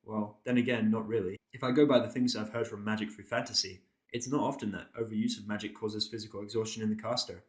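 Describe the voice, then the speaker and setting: A man's voice: lowish voice, fairly even intonation, fast, few pauses, breathy edge, low-quality recording. A man reading scripted first-person narration for an audio drama.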